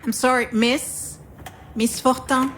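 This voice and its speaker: A woman voicing a composed, frank hospital physician in a visual novel game, measured and clinical. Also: high-pitched, little pitch movement, fairly bright tone, a little hiss or hum. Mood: firm, polite.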